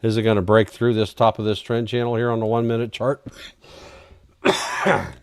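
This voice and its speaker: A man's voice: fairly low pitch, flat delivery, moderate pace, smooth, no room sound, studio quality. A man hosting a live trading stream, chatting casually and answering viewer questions while he reads charts, his voice getting worn out. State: losing his voice. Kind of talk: casual live market commentary.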